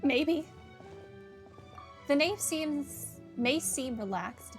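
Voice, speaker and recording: A woman with a slightly high voice talks casually while she plays, thinking aloud and musing about the story. Faint game music runs behind her. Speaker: game streamer. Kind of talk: casual gameplay commentary.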